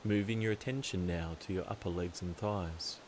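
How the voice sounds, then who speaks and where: A man narrating a guided meditation in a calm, soothing, deliberate way, leaving long pauses. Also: low voice, a little hiss or hum.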